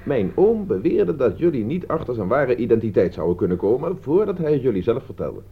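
A man's voice: medium pitch, big pitch swings, a little fast, a little hiss or hum. An actor playing a smug, self-assured male teacher in a radio play, speaking in a didactic way. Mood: self-satisfied. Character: smug, clever male teacher who stages a cruel prank. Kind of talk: radio play acting in Dutch. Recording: dull, muffled old radio recording.

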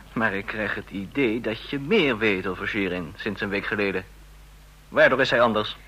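An actor playing an ambitious, jealous young counter-espionage agent in a radio drama, insistent and sceptical in manner. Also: male voice, fairly low pitch, normal speed, bright, forceful tone, husky, low-quality recording. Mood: suspicious, jealous. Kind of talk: Dutch radio drama acting.

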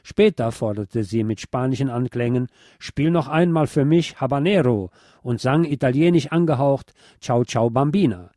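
A man reading a scripted cultural essay aloud in a measured, formal, literate manner. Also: mid-range voice, mellow, dry recording, clean studio sound. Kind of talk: scripted spoken essay on pop history, read aloud.

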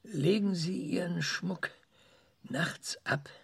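A man's voice: sits high, takes it slowly, vocal fry, slightly rough, no echo, exaggerated voice. An older man in a radio drama playing an aggressive, eccentric, obsessive scientist who speaks in a hesitant, fitful way. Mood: insistent, probing.

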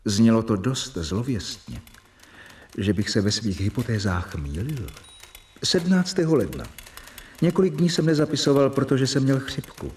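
An actor playing an earnest young doctor in a radio drama, explaining and keeping a diary. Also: male voice, mid-range voice, very animated, darkish tone, slight background noise, natural voice. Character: earnest young male doctor, diligent and eager. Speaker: doctor, professor's assistant.

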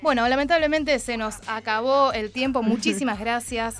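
Argentine lady radio host, rioplatense accent, highish voice, casual, faint music under her. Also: normal speed. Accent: Rioplatense Argentine Spanish. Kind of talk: casual radio interview hosting. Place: radio studio.

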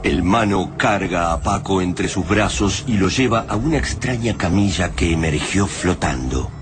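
A deep-voiced Argentine man a grave radio drama narrator. He delivers a scripted tale of invasion, with loud music underneath him. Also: expressive, moderate pace. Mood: grave, dramatic.

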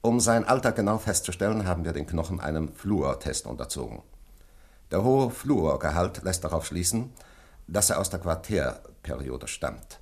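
An actor playing a methodical male laboratory scientist in a German radio play, speaking formally and precisely with a husky voice. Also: sits low, very animated, moderate pace, a little mellow, full character voice. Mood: matter-of-fact, formal. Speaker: laboratory analyst.

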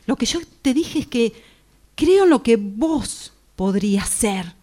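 A woman acting an affectionate Argentine girlfriend in a radio play, reassuring and insistent. Her voice is fairly high and swings freely up and down. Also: expressive, slow pace, mellow. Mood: puzzled, insistent. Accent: Argentine Spanish. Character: affectionate, reassuring woman in a ten-year relationship. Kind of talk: radio drama workshop exercise.

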